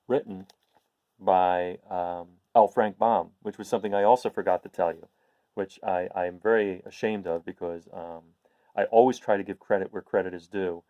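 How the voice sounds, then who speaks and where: A middle-aged man hosting a read-aloud for children, chatty and explanatory, moving between casual talk and expressive storybook reading. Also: sits low, no room sound. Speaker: host of a read-aloud series.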